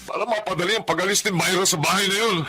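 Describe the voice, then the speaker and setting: A man's voice: high voice, very fast, natural voice. A man playing a caring, remorseful middle-aged working father, earnest and anguished, in a Filipino radio drama. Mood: guilty, resolved.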